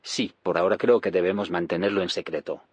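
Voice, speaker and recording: An actor playing an idealistic, friendly leader of scientists in a naturalistic audio drama, with a husky voice. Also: male voice, low voice, steady tone, fast, no room sound. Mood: friendly, hopeful.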